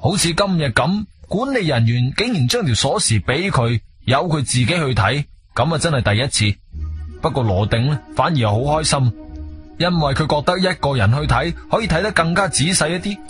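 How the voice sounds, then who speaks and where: A man's voice: on the high side, animated, fairly quick. A man with a Guangzhou Cantonese accent narrating a radio drama as a conversational storyteller, dropping in interjections. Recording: music bed behind studio voice.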